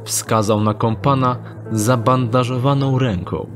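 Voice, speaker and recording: A man narrating an audio drama in a steady, descriptive storytelling manner. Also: medium pitch, unhurried. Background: music.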